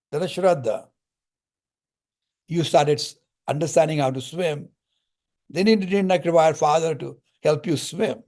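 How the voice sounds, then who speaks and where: An older man with a slightly raised pitch speaks in an unhurried teaching tone. He explains spiritual ideas through homely parables and Sanskrit terms, like a lecturer guiding students. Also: dark tone.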